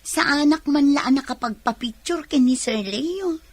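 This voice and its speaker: A woman's voice: high voice, measured pace, dry recording. A Filipino woman acting as an emotional, stubborn young daughter in a radio drama. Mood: wistful.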